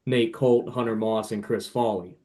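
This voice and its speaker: A man's voice: fairly low pitch, fairly even intonation, normal speed, dark tone. A man speaking as a podcast guest and author, chatting casually about books in an informal, emphatic, opinionated way. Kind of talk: casual book chat.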